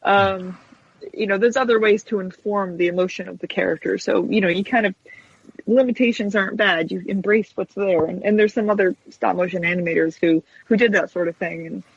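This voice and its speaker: A woman's voice: medium pitch, fairly even intonation, brisk, gravelly, breathy edge, no room sound. A chatty woman guest, an animator explaining her craft casually in an interview over dull web audio. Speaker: guest stop-motion animator and puppet maker.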